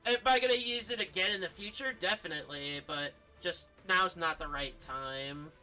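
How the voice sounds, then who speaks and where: A man's voice: high voice, moderate pace, bright, forceful tone, soft background music, rough recording. A man giving casual gameplay commentary as a streamer.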